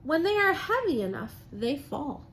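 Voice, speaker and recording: A woman's voice: sits high, sing-song, moderate pace, a bit bright. A woman teacher reading aloud and explaining science to young children in simple, encouraging phrases. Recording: noticeable background noise.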